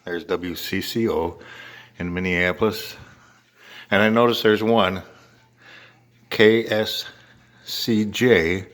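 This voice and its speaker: An older man with an American accent giving a casual, halting show-and-tell narration in short phrases. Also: sits low, fairly even intonation, a bit bright. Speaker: home video maker showing an antique radio.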